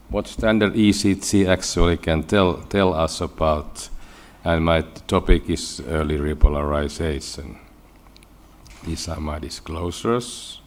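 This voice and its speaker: A man with a Finnish accent giving a scientific conference lecture in halting English, often repeating words. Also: sits low. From Finland. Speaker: medical researcher giving a lecture.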